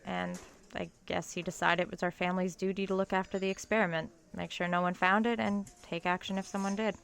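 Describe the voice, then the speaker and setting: A woman's voice: lowish voice, little pitch movement, fairly quick, a bit bright. An actress playing an earnest young college woman in a naturalistic audio drama. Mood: hesitant, serious. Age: young adult. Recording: clear background vehicle noise.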